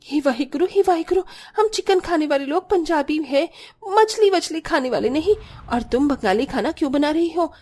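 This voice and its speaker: Woman voicing a shrill, peevish Punjabi sister-in-law. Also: animated, normal speed, hardly any pauses, a little mellow, soft background music. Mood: indignant. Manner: complaining, indignant, dramatic.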